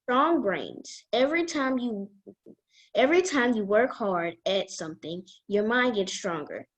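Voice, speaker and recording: A woman guest reader reading a children's book aloud in a deliberate way. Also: a little high, expressive.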